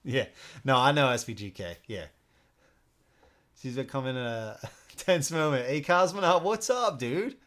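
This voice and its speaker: A man hosting a solo board game stream, talking informally, thinking out loud and often repeating himself as he plays. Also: mid-range voice, animated, a little slow, pauses often. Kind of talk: casual live stream commentary while playing.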